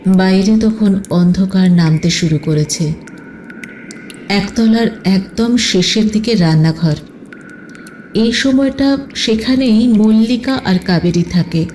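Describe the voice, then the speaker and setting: A woman's voice: medium pitch, slow, warm tone, slight background noise. A woman narrating a scripted detective story as an audio storyteller. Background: music.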